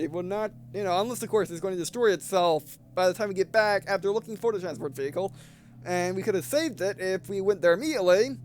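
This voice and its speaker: A man doing casual let's play commentary, reading game dialogue aloud and thinking out loud, often repeating and restarting his phrases. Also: high-pitched, a little hiss or hum. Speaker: gameplay video host.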